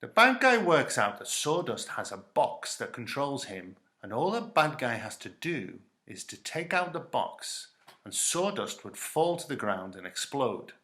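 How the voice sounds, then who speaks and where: Male story reader, bright forceful tone, lively pitch movement, dramatic children's narration. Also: measured pace.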